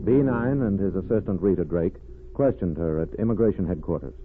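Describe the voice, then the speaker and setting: A man's voice: low-pitched, expressive, brisk. A man narrating as a radio announcer in an emphatic, formal, dramatic 1940s style. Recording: tinny vintage radio with music. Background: music.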